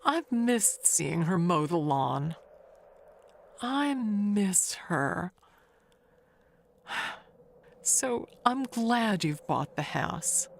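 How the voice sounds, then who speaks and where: A woman playing a chatty, evasive older neighbor who rambles haltingly through an audio mystery monologue, with noise behind her. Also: on the low side, big pitch swings, gravelly, full character voice. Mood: confiding, wistful.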